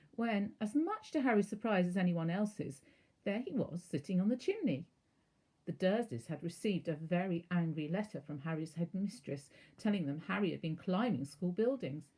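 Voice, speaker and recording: A woman narrating a children's storybook aloud as a teacher would, in an expressive, measured way. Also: lowish voice, low-quality recording.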